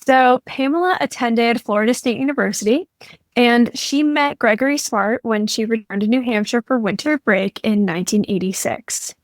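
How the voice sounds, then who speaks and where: A woman's voice: fairly high pitch, moderate pace, dry recording. A teenage girl hosting a true crime podcast, telling a case from her notes. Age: teens. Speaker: podcast host.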